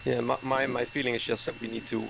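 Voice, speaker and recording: A man around forty, a caller chatting hesitantly with many fillers and soft chuckles. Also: mid-range voice, little pitch movement, fast, vocal fry, noisy background. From Netherlands. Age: 40s. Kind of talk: casual chat.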